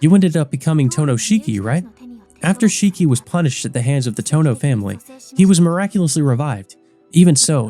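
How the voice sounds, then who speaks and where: A man's voice: fairly high pitch, dark tone, voice pushed up, close to an everyday voice. A man voicing a composed, stern but caring older schoolgirl who explains and cautions precisely in a visual novel. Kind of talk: visual novel character reading.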